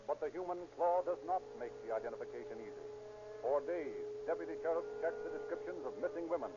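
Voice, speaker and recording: A man's voice: mid-range voice, lightly acted. A man playing a solemn narrator, reading a police case in dramatic 1930s radio style. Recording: dull 1930s radio recording.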